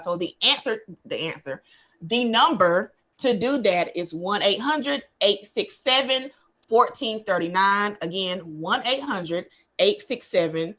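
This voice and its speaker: A woman's voice: medium pitch, bright, forceful tone. A woman hosting a radio talk show, chatting candidly and rambling with fillers. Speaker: host. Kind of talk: casual talk show chat.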